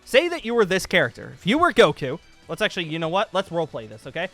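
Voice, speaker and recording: A man hosting a casual, excited gaming vlog, rambling along and repeating phrases for emphasis. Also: high-pitched, fast talker, soft background music. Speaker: YouTube host pitching a gaming roleplay series. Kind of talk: casual excited vlog chat over gameplay.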